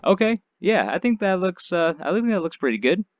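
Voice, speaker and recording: A man's voice: sits high, very animated, a little fast, no echo, muffled recording. Stuffed-up man chatting through gameplay. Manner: chatty, rambling, many fillers. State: stuffed-up nose from allergies. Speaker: gaming channel host. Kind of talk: casual let's-play commentary.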